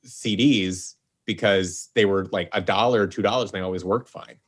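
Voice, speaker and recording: A man's voice: low-pitched, normal speed, breathy edge. An American man from Seattle, talking casually as an interview guest. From Seattle, USA. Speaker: guest, voice actor and audio series producer. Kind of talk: casual chat.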